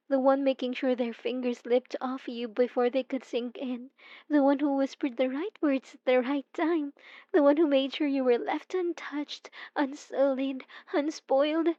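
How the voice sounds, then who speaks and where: A young woman playing an obsessive, possessive stalker student in an intimate ASMR audio roleplay, cooing softly and dramatically with sweet menace. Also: high-pitched. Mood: possessive, gloating. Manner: soft, intimate, cooing, dramatic, drops into whispers. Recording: dull lo-fi sound with roomy echo.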